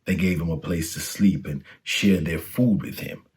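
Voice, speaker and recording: Guy with a low voice reading a kids story out loud, narrator style. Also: moderate pace. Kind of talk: scripted storybook read-aloud for children.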